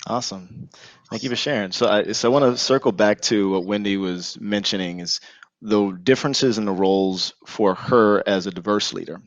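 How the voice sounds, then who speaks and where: A man's voice: fairly low pitch, moderate pace, dry recording, muffled recording. A man moderating a panel discussion as host, with an encouraging, appreciative manner.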